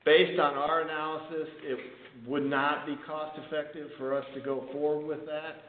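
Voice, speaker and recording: A man with a slightly high voice explains budget details as a town official, restarting now and then. He sounds distant, in a heavily echoing room over a conference phone line. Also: little pitch movement, normal speed. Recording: echoey, noisy phone conference line, far off.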